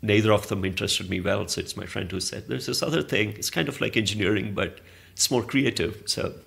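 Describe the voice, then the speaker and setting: A man's voice: on the low side, a little fast, creaky, fairly bright tone. A man, a Malayalam speaker, answering interview questions in a thoughtful, meandering way with frequent restarts. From southern India. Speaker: interview guest, arts organizer. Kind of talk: reflective conversational interview.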